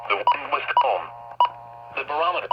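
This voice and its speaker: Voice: mid-range voice, lively intonation, moderate pace, lo-fi sound, heavy background noise. An automated announcer voice reading a weather report, heard through a small radio speaker.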